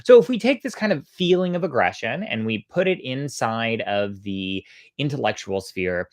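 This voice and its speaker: Storytime host, chatty and thoughtful, voice goes up and down a lot, really dry close sound, expressive narrating. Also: medium pitch, normal speed, no room sound. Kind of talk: casual explaining and storybook narration.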